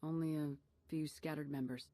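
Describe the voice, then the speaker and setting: A woman's voice: low voice, fairly even intonation, moderate pace, mellow, dry recording, close to an everyday voice. An actress playing a cool, confident female military commander in a video game, speaking in a terse, businesslike way.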